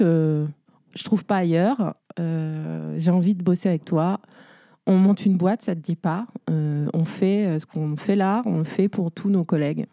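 A woman in her forties talks through her career with many "euh"s and restarts. Her voice is very mellow and soft, sitting a little low. The sound is dry and close. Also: no echo. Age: 40s. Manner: chatty, many fillers and restarts. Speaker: guest, entrepreneur. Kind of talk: casual interview storytelling.

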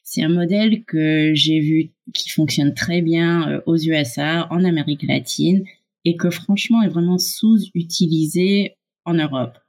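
Low-voiced woman with a North American accent. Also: unhurried, darkish tone. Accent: North American English. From Canada and USA. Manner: fluent, explanatory, with frequent fillers. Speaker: guest, startup co-founder. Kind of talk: podcast interview.

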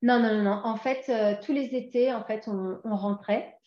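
A woman in her forties from France, a guest talking casually in an interview and pausing often with fillers and restarts. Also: fairly high pitch, steady tone, roomy sound, muffled recording. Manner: hesitant, many fillers and restarts.

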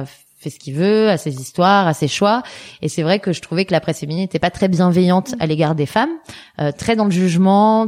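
A woman in her thirties from the Paris region, speaking as an interview guest in a relaxed, reflective way, pausing often to find her words. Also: on the low side. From Paris region, France. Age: 30s. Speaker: guest, entrepreneur. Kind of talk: casual conversational interview.

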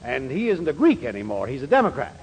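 An American actor playing a fussy, apologetic middle-aged shop boss in a 1940s radio comedy, hemming with false modesty. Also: male voice, medium pitch, huge pitch swings, fast. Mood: fussily apologetic. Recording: noisy vintage 1940s radio recording.

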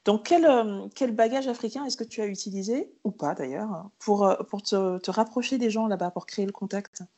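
A woman's voice: mid-range voice, fairly quick, mellow. A woman hosting a podcast, asking long, engaged, curious questions. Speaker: podcast host. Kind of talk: podcast interview.

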